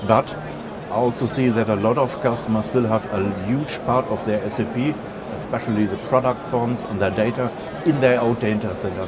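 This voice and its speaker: A man from Germany with a German accent, giving a conference presentation, pausing often with filler sounds and restarting sentences. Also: mid-range voice, heavy background noise. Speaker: conference speaker.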